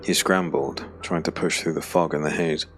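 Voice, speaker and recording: Male narrator for dark fantasy: extremely low, creaky voice, measured dramatic phrasing over prominent music. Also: steady tone. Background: background music.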